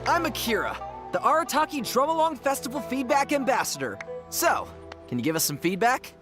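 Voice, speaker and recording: An earnest young gang underling serving as festival ambassador voiced by an actor in a video game. The delivery is literal-minded and swings widely in pitch, with music playing behind it. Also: sing-song, a little fast, lightly acted. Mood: earnest, unsure. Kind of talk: scripted video game character dialogue.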